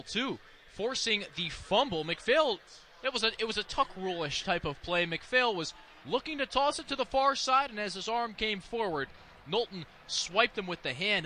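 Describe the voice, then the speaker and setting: A man's voice: high voice, animated, normal speed, pushed and bright. A man giving analytical color commentary on a live radio football broadcast, explaining plays and offering opinions, with stadium noise behind him.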